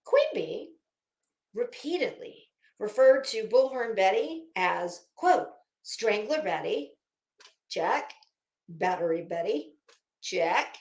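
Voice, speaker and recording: A woman's voice: medium pitch, lively intonation, slow pace, darkish tone. A middle-aged woman delivering a mocking, theatrical monologue, rambling and sarcastic. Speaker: host. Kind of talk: mocking dramatic commentary monologue. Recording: echoey room.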